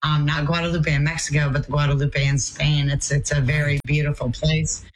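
A woman with a raspy, hoarse voice chatting enthusiastically as a tour coordinator in an interview, with many fillers. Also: deep voice, level tone, a little fast, rough recording. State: hoarse after travel. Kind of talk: casual interview chat.

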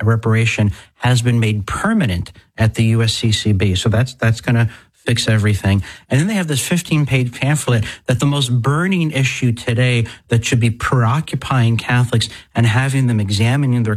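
A man's voice: medium pitch, rough recording. A middle-aged man hosting a talk radio show, telling stories in a wry, sardonic way.

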